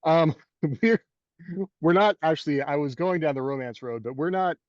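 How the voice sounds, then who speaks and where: A man's voice: on the high side, normal speed, a little mellow, no room sound, lo-fi sound. A man hosting a podcast, setting up the topic in loose, rambling casual talk. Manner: loose, rambling, lots of "like" and "you know". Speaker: podcast host. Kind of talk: casual chat.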